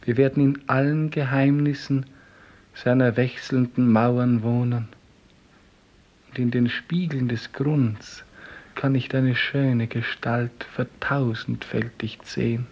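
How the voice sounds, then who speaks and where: An actor playing a devoted, dreaming clerk in love, speaking solemn poetic lines in a 1950s German radio play. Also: male voice, mid-range voice, steady tone. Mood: fervent, solemn. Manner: solemn, poetic, slow declamation. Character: devoted dreaming office clerk, tender and rapturous lover. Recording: dull 1950s radio recording.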